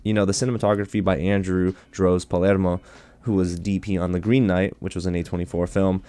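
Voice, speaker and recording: Man, deep voice, mellow tone, casual enthusiastic movie review, slightly under the weather. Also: flat delivery, fairly quick, no room sound. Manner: enthusiastic, chatty, addresses viewers directly. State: getting over a cold. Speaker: movie reviewer, channel host.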